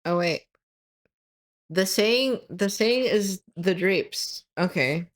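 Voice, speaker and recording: A woman streamer reading her own fiction aloud, stopping often to fix her wording. Her voice is going hoarse. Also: mid-range voice, takes it slowly. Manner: stops often to correct her wording, laughs at slips. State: losing her voice.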